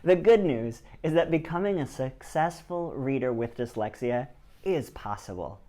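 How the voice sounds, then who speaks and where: A man presenting a scripted educational video in the first person, with an expressive, explanatory delivery. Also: mid-range voice, normal speed. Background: background music.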